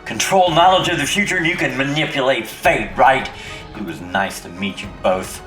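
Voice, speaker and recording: A man's voice: mid-range voice, normal speed, lightly acted. Man playing a condescending authoritarian in an echoey hall. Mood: bitter, scornful. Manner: formal, condescending, menacing. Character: condescending grown male leader enforcing harsh dystopian codes. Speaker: voice actor in audio fiction. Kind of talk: audio drama acting. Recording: echoey space under loud music.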